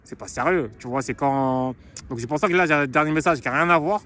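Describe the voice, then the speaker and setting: A man's voice: on the high side, brisk, sharp tone. A young man talking casually in a vlog, slangy and rambling, restarting and repeating phrases. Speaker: YouTuber promoting his book.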